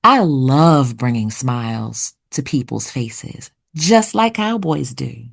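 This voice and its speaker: Low-voiced woman reading a picture book, unhurried. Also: expressive, creaky, slightly rough. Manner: deliberate, storytelling delivery with dramatic pauses. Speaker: children's read-aloud narrator.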